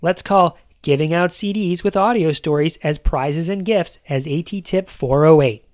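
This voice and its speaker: A man's voice: on the high side, normal speed, darkish tone, dry recording, studio quality. A man hosting a podcast, talking through a scripted monologue in a conversational way, with playful emphasis on lists. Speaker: podcast host.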